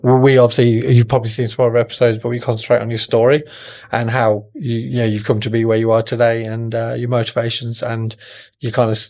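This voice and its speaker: A man hosting an interview show, reading a scripted intro and then asking friendly, halting questions. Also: medium pitch, darkish tone. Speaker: podcast host.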